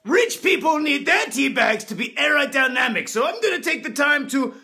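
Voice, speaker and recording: A man's voice: very high voice, lively intonation, normal speed, gravelly, voice pushed up, exaggerated voice. Man putting on a pompous rich toff. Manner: pompous, shouty, crude. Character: pompous rich British man with mustache and monocle. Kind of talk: comedy sketch video.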